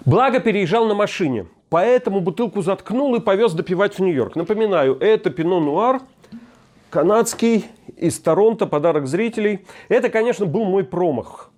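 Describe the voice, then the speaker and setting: A man in his fifties delivers a scripted commentary monologue from a hotel room. His voice is mellow and sits a little high, and his tone is wry and ironic. He reflects at a measured pace. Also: animated. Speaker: host.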